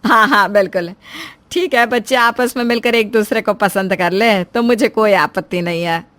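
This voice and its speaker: A woman's voice: mid-range voice, moderate pace, fairly bright tone, dry recording, lightly acted. A woman voicing a protective middle-aged mother of grown children, earnest and fretful, in a family story. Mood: pleased, agreeable. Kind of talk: Hindi family story voice-over.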